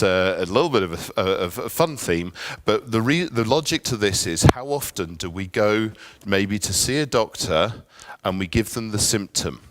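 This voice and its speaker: Deep-voiced English man presenting a workshop. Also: fairly bright tone, no room sound. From England. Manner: friendly, chatty, with asides and filler ums. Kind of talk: conference workshop talk.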